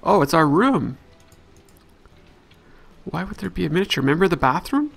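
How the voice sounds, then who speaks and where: A man's voice: on the high side, very animated, moderate pace, gravelly. Chatty man doing casual game commentary. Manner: chatty, thinking aloud. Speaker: let's play host.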